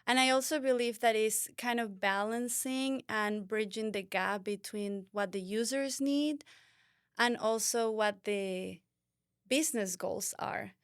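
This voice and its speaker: A woman's voice: medium pitch, gravelly, clear, resonant tone, dry recording, clean studio sound. A woman from Ecuador with an Ecuadorian Spanish accent, a UX designer co-hosting a podcast in a friendly, agreeable way. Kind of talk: casual conversational podcast.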